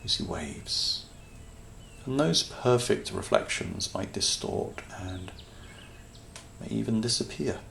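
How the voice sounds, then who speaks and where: Really slow gravelly guy doing a calm guided meditation, long pauses, soothing, soft bird and nature sounds behind. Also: fairly low pitch, a little hiss or hum. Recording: nature ambience with birdsong behind voice. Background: natural sounds, birds.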